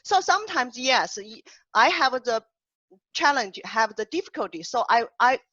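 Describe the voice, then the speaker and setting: A woman translator co-hosting an online poetry panel, speaking with a heavy non-native accent and often pausing to search for words. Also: a little high, fairly bright tone, no echo. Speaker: translator and co-host. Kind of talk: casual online panel discussion. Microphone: video call. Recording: dull, dry video call audio.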